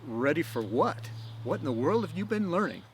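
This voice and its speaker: A man reads as an older swordmaker from a storybook, gruffly fond and full of disbelief. He questions in a creaky, animated voice, with faint noise in the background. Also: on the high side, moderate pace, pitched above the performer's own voice. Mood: incredulous.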